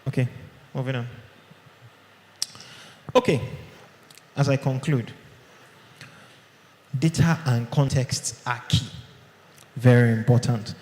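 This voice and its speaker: Male speaker, mid pitch, conversational conference talk, keeps asking "okay?", booming hall reverb. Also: slow pace, long pauses, a little mellow, slight background noise. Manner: conversational, checks in with "okay?" often. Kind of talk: live presentation to an audience. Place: large hall. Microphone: handheld or podium mic. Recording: echoey hall with long reverb.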